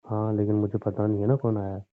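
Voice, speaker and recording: A man's voice: on the low side, flat delivery, fast, natural voice. A man playing a resigned, injured husband in a relationship audio drama, reasoning insistently and pleadingly with his estranged wife. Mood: evasive. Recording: tinny, narrow phone-like sound.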